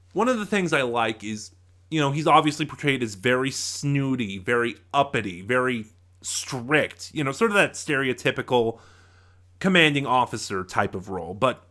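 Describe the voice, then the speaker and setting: A man with a middling pitch talks to viewers as a reviewer. His delivery is casual and unscripted. He halts now and then, trails off and circles back to finish a thought. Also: sharp tone. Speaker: reviewer, host of audio drama reviews.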